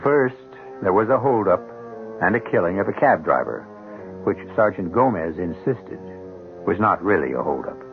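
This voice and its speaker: A man playing an ominous, sardonic storyteller host, narrating a radio mystery with deliberate, theatrical phrasing. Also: low voice, expressive, faint music behind. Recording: muffled 1970s radio broadcast.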